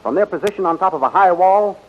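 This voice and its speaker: A man's voice: sits high, fast, soft background music. A man narrating and announcing in the scripted style of a 1930s radio serial, on a muffled old recording.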